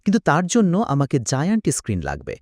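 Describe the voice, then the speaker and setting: Man as a mellow, lively young lamb. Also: fairly high pitch, quick pace, no echo, studio quality, soft background music. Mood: confident. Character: clever young male lamb, confident persuader.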